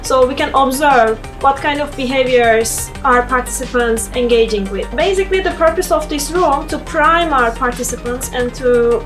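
A young woman with a high, light voice guides viewers through a research lab, explaining each room in a friendly way. Music plays softly behind her. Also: moderate pace, hardly any pauses. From Turkey. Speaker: PhD student giving a lab tour. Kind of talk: guided tour talk. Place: indoor research lab rooms. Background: background music.

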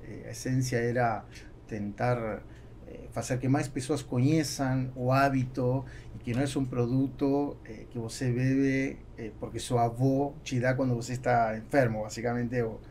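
A man in his forties with an Argentine accent, a native Spanish speaker, answering interview questions haltingly and mixing Spanish with Portuguese. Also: mid-range voice, steady tone, a little mellow, a little hiss or hum. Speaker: podcast guest, business owner. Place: small acoustically treated podcast studio. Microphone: studio microphone on boom arm.

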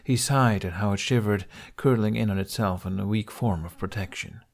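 A man's voice: lowish voice, moderate pace. A man reading a scripted story aloud as an audiobook narrator.

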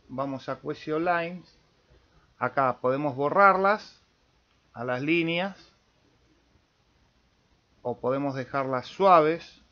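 A man with an Argentine Spanish accent giving an informal software tutorial, thinking aloud as he demonstrates. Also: a little high, highs cut off. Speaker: amateur radio video tutorial host.

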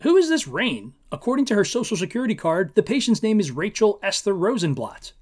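A man's voice: high voice, very animated, fairly quick, pitched above the performer's own voice. A man voicing a stern, pressing police officer who questions in disbelief, in a storybook reading. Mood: incredulous. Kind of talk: audiobook-style character reading.

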